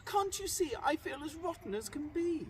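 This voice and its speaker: A high-pitched, whining young storybook heroine, acted out by a man, bossy and jealous. She swoops insistently through her demands, a little way from the mic. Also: expressive, moderate pace. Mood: petulant, demanding. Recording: distant microphone, noisy background.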